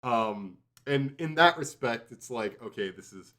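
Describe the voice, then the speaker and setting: A man's voice: mid-range voice, lively intonation, measured pace, fairly bright tone. A man chatting casually and informally as a podcast reviewer, rambling as he goes. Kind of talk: casual chat.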